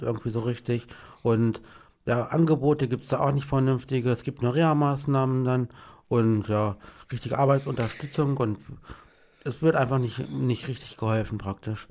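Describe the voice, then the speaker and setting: Creaky-voiced male podcast co-host. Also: medium pitch, darkish tone. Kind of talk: podcast talk and prepared questions.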